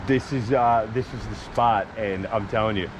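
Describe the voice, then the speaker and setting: A man telling a fishing story outdoors in an excited, rambling way, restarting his sentences. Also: fairly low pitch, moderate pace. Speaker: fishing buddy. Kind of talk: casual outdoor chat. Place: outdoors by a creek. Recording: outdoor noise in the background.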